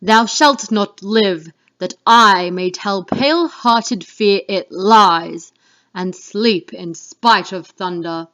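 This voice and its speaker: A woman's voice: medium pitch, takes it slowly, a bit bright. A woman reading the part of Macbeth, a fearful, defiant king, in a declamatory Shakespeare dramatic reading. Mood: wary, resolved. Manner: declamatory, exclamatory. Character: Macbeth, male Scottish thane turned king, fearful and defiant. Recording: dull lo-fi home recording.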